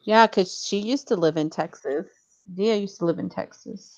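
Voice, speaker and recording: A woman's voice: medium pitch, moderate pace, muffled recording. A woman hosting a livestream, chatting casually while she works on a craft and laughing often. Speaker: livestream host.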